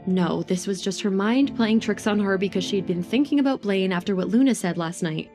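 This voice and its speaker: A woman's voice: mid-range voice, brisk, music in the background. A woman narrating a scripted romance audio drama.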